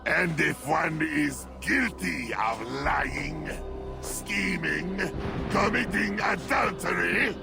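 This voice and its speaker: An actor playing a stern, pious official, solemnly proclaiming judgment in a video game. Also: male voice, on the high side, takes it slowly, creaky, exaggerated voice. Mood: solemn, condemning. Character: stern pious official pronouncing a holy trial. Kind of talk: dramatic video game acting. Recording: dramatic music behind the voice.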